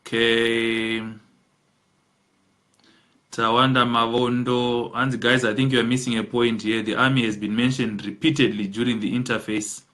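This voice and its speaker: Man, Zimbabwean accent, live show host, bright voice, reads comments aloud. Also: mid-range voice, flat delivery, moderate pace. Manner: reads out comment names, switches between English and Shona. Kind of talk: live call-in chat, reading viewer comments.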